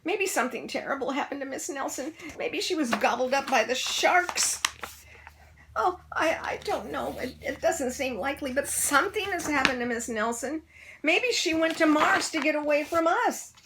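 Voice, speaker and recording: A woman voicing a class of excited schoolchildren in a read-aloud storybook. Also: high-pitched, moderate pace, background noise, pitched above the performer's own voice. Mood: worried.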